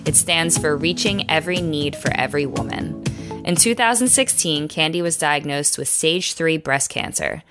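A woman hosting an interview, reading a polished introduction and asking measured questions. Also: deep voice, lively intonation, music in the background. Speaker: host.

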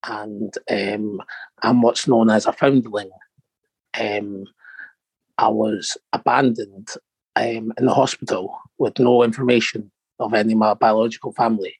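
A man in his thirties, born in Morocco and raised in Scotland, speaking softly and hesitantly as an interview guest. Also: fairly low pitch, steady tone, measured pace, creaky, husky, no echo, low-quality recording. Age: 30s. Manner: soft-spoken, hesitant with many ums. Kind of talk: personal interview.

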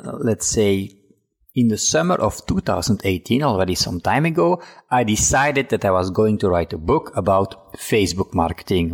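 A man, Dutch by mother tongue, talks through a personal story on his podcast. His voice sits fairly low with a husky, slightly breathy edge. He speaks loosely and conversationally, with fillers and restarts. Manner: relaxed, chatty, frequent fillers and restarts. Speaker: podcast host. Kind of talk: casual personal storytelling monologue.

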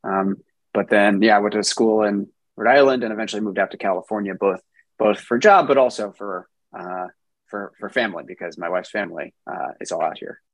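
A man from New Jersey, a software engineer answering interview questions in a relaxed way, with many ums and restarts. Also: fairly low pitch, fairly quick, dry recording. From New Jersey, USA.

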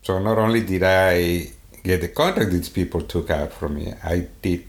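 A man with a Swedish accent speaks in a somewhat low voice, searching for words, with frequent fillers and restarts. The recording has a roomy echo around him. His delivery is unhurried and conversational. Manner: halting, many restarts and fillers. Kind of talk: casual conversation. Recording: echoey room.

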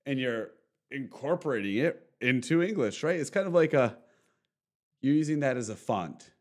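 A man's voice: mid-range voice, expressive, moderate pace, darkish tone. Delighted laughing male host. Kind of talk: casual interview chat.

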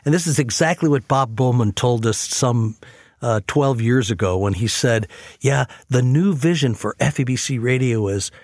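A man's voice: medium pitch, moderate pace, a little mellow, no room sound, studio quality. A man telling stories conversationally and earnestly as host of a faith radio program. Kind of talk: conversational storytelling on a faith talk show.

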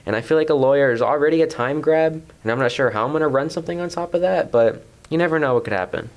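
A man's voice: on the high side, fast. A young man, in his teens or just past, discussing a book as a panelist in a wordy, playfully formal way. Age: teen to young adult. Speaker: teen book club panelist.

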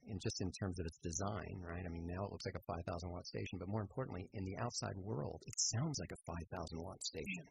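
Low, gravelly enthusiastic man. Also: fast talker, few pauses, slight background noise, rough recording. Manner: enthusiastic, rambling. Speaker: radio theater company member, guest. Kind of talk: casual interview chat, telling anecdotes.

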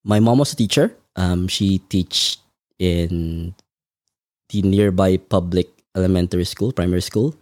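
A man from the Philippines, answering interview questions thoughtfully and with frequent pauses. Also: sits low, a little mellow, dry recording, studio quality. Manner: measured, thoughtful, frequent ums. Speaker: podcast guest. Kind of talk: conversational interview.

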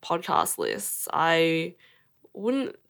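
Woman interviewer, playful and teasing, low-pitched with a creaky fry. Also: huge pitch swings, very slow, fairly bright tone. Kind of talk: casual conversational interview.

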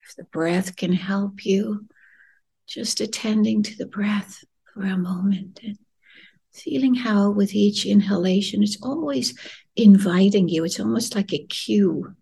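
A woman leading a guided meditation in a gentle, soothing, breathy voice that often drops near a whisper, unhurried with long pauses. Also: mid-range voice. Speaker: podcast host and meditation guide.

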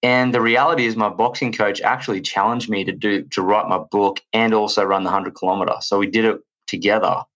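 A man in his thirties with an Australian accent, a podcast guest telling stories in an energetic, rambling way. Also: on the low side, brisk, few pauses, muffled recording. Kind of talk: casual chat.

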